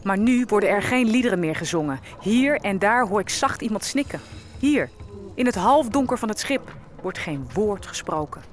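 An actress playing a sorrowful, observant enslaved African woman, narrating an audio drama in a measured, reflective way. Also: medium pitch, expressive, close to an everyday voice. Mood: somber, reflective.

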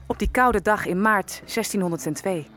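A woman playing a quietly resigned young enslaved servant in a historical audio drama, telling her story in a measured, reflective way. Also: mid-range voice, faint music behind, lightly acted. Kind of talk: audio drama first-person narration.

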